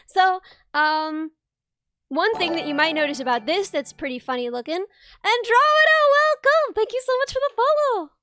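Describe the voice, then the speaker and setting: A woman's voice: very high-pitched, animated, normal speed, noisy background. A young woman hosting a live soldering stream, bubbly and laughing often as she explains her work step by step.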